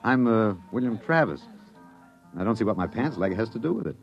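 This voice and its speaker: A husband on the run in a vintage 1950s radio drama. His low voice swings widely in pitch as he soothes and urges, keeping his nerves under cover. Music sits behind the old recording. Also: lively intonation, fairly quick, dull audio. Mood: guarded. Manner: soothing but tense, clipped urging. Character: reassuring husband, an anxious time-traveling fugitive hiding his nerves.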